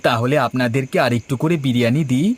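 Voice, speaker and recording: A man's voice: mid-range voice, quick pace, close to an everyday voice. A man voicing a cheerful, hardworking male biryani shop owner in a cartoon. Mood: earnest. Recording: background music.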